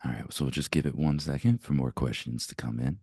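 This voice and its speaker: A man with laryngitis, hoarse, moderating a webinar Q&A and briskly reading out audience questions. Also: extremely low pitch, mellow, dry recording, studio quality.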